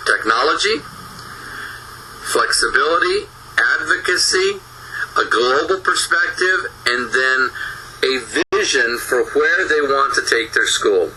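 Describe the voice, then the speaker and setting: Bright-voiced man lecturing. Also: mid-range voice, animated, slight background noise, low-quality recording. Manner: talks fast with frequent restarts. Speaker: conference presenter. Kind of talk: recorded lecture. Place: home office.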